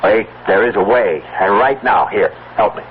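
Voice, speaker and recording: An actor playing an excitable, stubborn farmer husband with a folksy, halting, insistent way of talking, in a 1970s radio drama. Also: male voice, lowish voice, phone-quality sound, close to an everyday voice. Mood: insistent, urgent. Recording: thin, band-limited vintage radio, faint noise.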